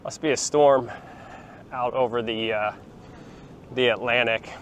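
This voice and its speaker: A man in his forties walks and talks into a handheld phone outdoors, chatting through a relaxed tour with lots of ums and restarts. His voice sits at a middle pitch. Faint outdoor ambience and the odd passing car sit behind him. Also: a little slow, slight background noise. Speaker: vlogger showing a beach town. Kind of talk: casual walking tour talk to camera. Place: outdoors, sunny beachfront plaza and beach. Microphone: phone held at arm's length, small clip-on mic.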